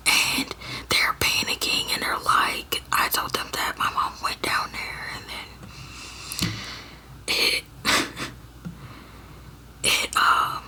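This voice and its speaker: A young woman from Georgia in the US, telling a personal story to her viewers in a halting whisper, full of fillers and restarts. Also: pushed and bright. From Georgia, USA. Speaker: storyteller vlogger.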